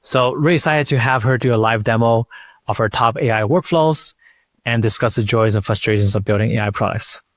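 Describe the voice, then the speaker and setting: A man's voice: medium pitch, brisk, no echo, studio quality. A man hosting a podcast, speaking as an interviewer and presenter. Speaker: podcast host. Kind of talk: casual interview talk and scripted sponsor read.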